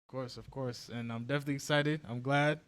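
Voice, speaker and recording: A man's voice: mid-range voice, fairly even intonation, fast, fairly bright tone, clear, resonant tone. A man hosting a podcast interview, introducing his guest with enthusiasm and an easy, friendly laugh. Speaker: podcast host.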